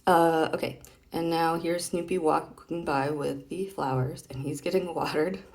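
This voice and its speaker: A woman with a low-pitched voice gives a casual read-aloud. She is chatty and playful, often hesitating and thinking aloud as she explains. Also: steady tone, unhurried, gravelly, a little mellow. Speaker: vlogger reading comic strips aloud.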